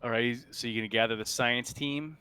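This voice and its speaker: A man narrating an improvised tabletop roleplaying game as game master, in casual, halting phrases. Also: medium pitch, steady tone, pushed and bright, slight background noise.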